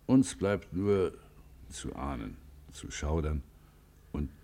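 A man playing a grave, compassionate confessor in a German radio play, with solemn, measured and dramatic phrasing. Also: extremely low pitch, animated, creaky, warm tone, heavily acted. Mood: solemn, pondering. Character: grave, compassionate male confessor hearing a criminal's tale.

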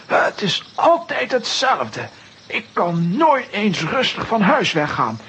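An actor playing a fussy, nervous professor in a children's audio drama, flustered and exclamatory, with a husky voice. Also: male voice, high-pitched, huge pitch swings, slow pace, fairly bright tone, a little hiss or hum, heavily acted. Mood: agitated.

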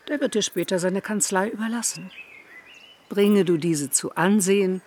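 An actress playing a sober, calculating middle-aged mother in a radio drama, measured and matter-of-fact. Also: medium pitch, a little mellow, a little hiss or hum, lightly acted. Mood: sober, practical. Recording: faint birdsong and garden ambience. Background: birdsong.

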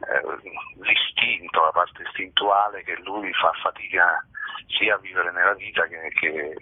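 A man, an actor being interviewed, explaining things in a halting, thoughtful way over the telephone. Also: sits low, fairly even intonation, slightly rough, no echo. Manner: halting, thoughtful, repeats words while explaining. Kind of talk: spontaneous interview talk. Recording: tinny phone line into radio.

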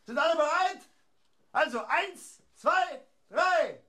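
A man playing an excitable, protective, fatherly group leader in a German radio play. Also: very high voice, slow, exaggerated voice. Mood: brisk, excited. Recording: clearly noisy, clicks.

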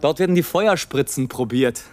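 An actor playing a rapturous, scornful airship balloonist in a theatrical, declamatory radio play monologue, swinging between grand exultation and hush. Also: male voice, medium pitch, moderate pace, close to an everyday voice. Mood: brisk, sardonic. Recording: studio radio play with music.

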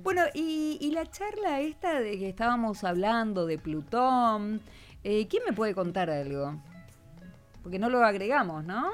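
Female radio host, Rioplatense accent, lively intonation, encouraging, chatty interviewer over faint music. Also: mid-range voice, measured pace. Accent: Rioplatense Argentine. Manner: encouraging, conversational. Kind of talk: informal educational radio interview with schoolchildren.

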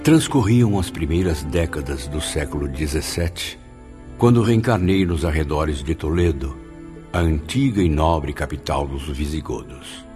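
A man's voice: very deep voice, animated, a little slow, a little mellow. An actor playing a male spirit who solemnly recalls his past life in a radio drama. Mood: solemn, reminiscent. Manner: solemn, theatrical first-person storytelling. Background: music.

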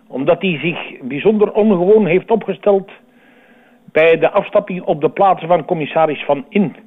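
High-voiced formal Flemish man on phone. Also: moderate pace, slightly rough. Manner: formal, official wording. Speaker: listener phoning in a tip. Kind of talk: formal, spontaneous theorising. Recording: tinny phone line.

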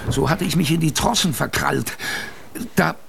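A man's voice: a little high, normal speed, vocal fry, fairly bright tone, a little hiss or hum. An actor playing a former ship's kitchen boy who reminisces in a colloquial, confiding way as a radio drama narrator. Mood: reminiscing. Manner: colloquial, vivid, confiding storytelling.

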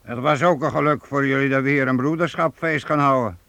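A man's voice: mid-range voice, steady tone, a little fast, creaky, a bit bright, no room sound. An actor playing a brash, proud medieval townsman who leads guild archers, blunt and mocking, in a radio play. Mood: relaxed, friendly. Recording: faintly noisy old radio play recording.

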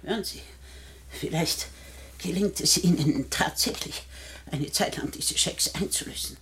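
An older woman playing a sharp-tongued, defiant, rich old widow in a 1960s German radio play, speaking with deliberate scorn. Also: deep voice, a little hiss or hum. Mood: scornful, defiant.

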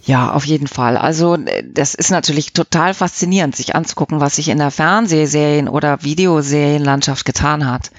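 A woman's voice: low-pitched, brisk, hardly any pauses, dry recording. A middle-aged woman giving casual, reflective interview answers, thinking aloud in long, rambling explanations. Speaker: audio drama producer and editor, interviewee.

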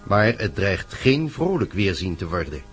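A man's voice: low-pitched, very animated, measured pace. A man reading scripted narration as the storyteller of a radio drama. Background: music.

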